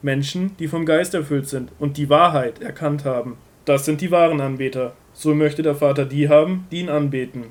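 Man playing authoritative prophet and teacher, fairly high male voice, solemn, measured, emphatic, Bible radio play. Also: natural voice. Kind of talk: scripted audio drama of a Bible story.

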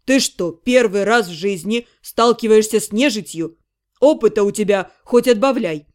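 A woman's voice: medium pitch, moderate pace, a little mellow, pitched below the performer's own voice, lightly acted. A woman doing a sleepy, grumbling male necromancer. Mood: teasing. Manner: grumbling, drowsy, teasing.

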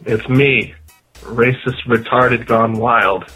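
A man's voice: medium pitch, steady tone, slow, sharp tone, breathy edge, soft background music, low-quality recording. A sick man with a nasal voice leaving a rambling, mocking voicemail. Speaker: voicemail caller.

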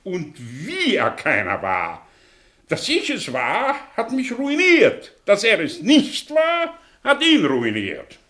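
An actor playing an older, sardonic, brilliant fallen intellectual in a German radio drama, speaking theatrically with rhetorical pauses and swells. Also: male voice, sits high, huge pitch swings, fairly bright tone, full character voice. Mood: agitated, bitter. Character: aging, theatrical, sardonic man, brilliant fallen intellectual confessing his past.

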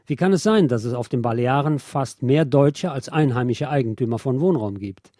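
A man presents a written interview as a radio host, reading it out in scripted narration. His voice is mellow and soft-edged, sitting at an average male pitch. The sound is dry, with very little room on it. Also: expressive, normal speed, dry recording.

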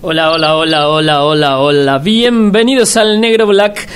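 An actor playing a smooth male bar host who greets guests with a drawn-out, welcoming patter. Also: on the high side, steady tone, fairly bright tone, slight background noise, natural voice.

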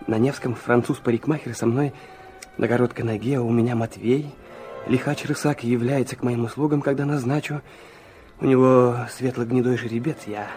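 Actor as brooding, excitable young man, faint music. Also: medium pitch, lightly acted. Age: young adult. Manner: introspective, rhetorical, impassioned. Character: proud, excitable, brooding young man recalling his youth. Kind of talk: Russian radio play, first-person narration and dialogue.

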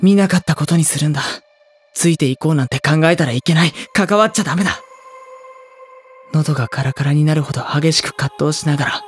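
A man's voice: on the high side, a little fast, faint music behind, close to an everyday voice. An actor playing an anxious, introspective teenage schoolboy, narrating his brooding inner thoughts in a Japanese audio drama. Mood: conflicted.

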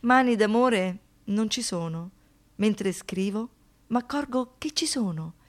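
An actress playing an anguished survivor of violence in a poetic radio monologue, speaking in halting phrases that shift between hush and outburst. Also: female voice, medium pitch, animated. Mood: dreamy, sombre. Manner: halting short phrases, dropping to whispers, rising to outbursts.

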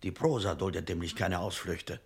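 Husky, condescending old bookseller. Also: male voice, low voice, normal speed, full character voice. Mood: dismissive. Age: older. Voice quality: husky, gravelly. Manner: schoolmasterly, condescending, muttering and chuckling. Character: shrewd, haughty old bookseller, condescending and calculating. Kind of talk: radio drama acting.

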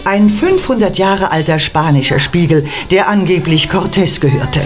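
A woman's voice: on the low side, normal speed, faint music behind, lightly acted. An actress playing a self-assured female painter telling her story in a German audio drama. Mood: calm storytelling.

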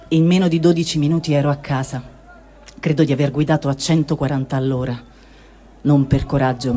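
A man with a mellow, darkish tone and a somewhat high voice reads a first-person diary aloud. He keeps a calm, unhurried pace throughout. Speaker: reader.

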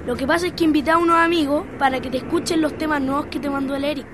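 Woman as a high-voiced slangy Chilean schoolboy. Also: steady tone, very fast, noisy background, lightly acted. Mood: casual, eager. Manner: slangy, casual. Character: schoolboy younger brother, casual and easily exasperated. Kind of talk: educational radio drama acting.

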